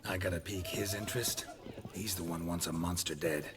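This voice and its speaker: A man playing a terse, sardonic monster hunter for hire in a fantasy video game, with a dry, deadpan delivery. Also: sits low, fairly quick, noisy background, close to an everyday voice. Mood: businesslike. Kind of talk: video game cutscene acting.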